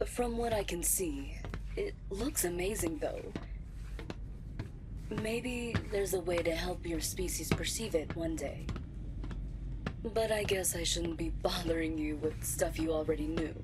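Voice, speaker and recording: Woman playing a low, gushing alien scientist. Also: close to an everyday voice. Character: chatty, eager female alien scientist, endlessly fascinated. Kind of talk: science fiction audio roleplay acting. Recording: clear background ambience noise.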